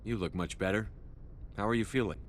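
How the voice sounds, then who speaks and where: An actor playing a composed, reassuring starship commander in a video game, speaking in a direct, plain way. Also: male voice, lowish voice, fairly quick, a little hiss or hum, lightly acted. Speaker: ship's commander.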